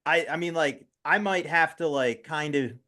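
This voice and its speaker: A man hosting a casual podcast interview, talking haltingly with many fillers and restarts. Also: fairly high pitch, bright, forceful tone. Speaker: podcast host.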